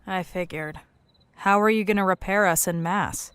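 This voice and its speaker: A woman's voice: mid-range voice, moderate pace, a bit bright, smooth, no room sound, natural voice. A woman playing a guarded, principled human negotiator in an audio drama, candid and matter-of-fact.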